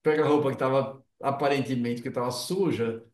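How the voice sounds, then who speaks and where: A man's voice: medium pitch, steady tone, fairly quick, a little mellow, recorded from a distance. A man with a Brazilian accent, hosting an interview in a casual, conversational way. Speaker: interview host. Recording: roomy echo, away from the mic.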